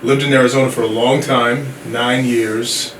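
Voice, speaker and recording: A man in his thirties from Sierra Leone, a company CEO talking as a guest in a relaxed podcast interview. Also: mid-range voice, moderate pace. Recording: roomy, distant mic with background noise.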